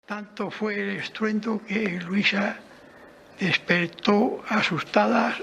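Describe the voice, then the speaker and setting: A woman giving halting amateur narration for a homemade radio play. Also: medium pitch, fairly even intonation, gravelly, a bit bright, slightly rough. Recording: muffled homemade recording.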